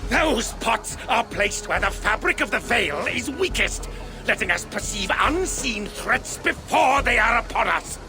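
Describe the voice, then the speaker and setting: An actor playing an eccentric, cranky old monk in a video game, with a cryptic, grandiose manner. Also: male voice, very high-pitched, animated, unhurried, over music, exaggerated voice. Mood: mystical, portentous. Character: eccentric cranky old uncle, mystical herb-burning monk. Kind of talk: dramatic video game acting.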